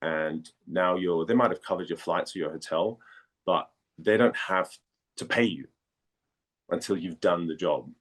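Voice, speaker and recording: A low-voiced man from Denmark chats as an interview guest. He talks casually and haltingly, doubling back on words while he works out what he means. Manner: halting, repeats words as he thinks. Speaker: guest, actor and former model.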